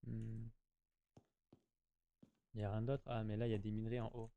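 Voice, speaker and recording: A man's voice: on the low side, little pitch movement, normal speed, dark tone, lo-fi sound, a little hiss or hum. A man giving casual, slangy gameplay commentary, chatting to his viewers and often repeating himself. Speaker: gaming YouTuber.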